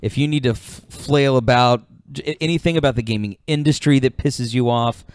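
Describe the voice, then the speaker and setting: A man's voice: medium pitch, normal speed, clean tone. A man hosting a podcast in casual, informal chat, swearing freely. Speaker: podcast host.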